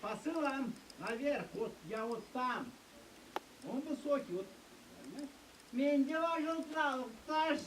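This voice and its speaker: A man's voice: extremely high pitch, takes it slowly, far from the mic, poor audio. A man directing voice actors in a studio, coaching them through their lines. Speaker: dubbing director. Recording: off-mic with faint noise, clicks.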